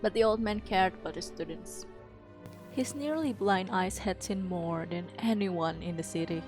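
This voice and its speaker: A woman's voice: medium pitch, normal speed. Woman narrating a story over music. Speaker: narrator reading a story aloud.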